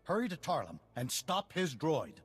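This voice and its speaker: An actor playing an earnest, grave leader of a threatened world in a video game. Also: male voice, a little high, wildly swooping pitch, normal speed, over music. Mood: grim, urgent. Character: earnest, worried male planetary leader. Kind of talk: video game character acting.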